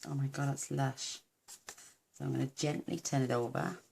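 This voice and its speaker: A woman gives a chatty card-making demonstration, gushing over her work as she goes. Her voice is croaky, with a gravelly fry at the edges. A steady hiss runs under the home recording. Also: very deep voice, moderate pace. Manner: gushing, talks to viewers while working.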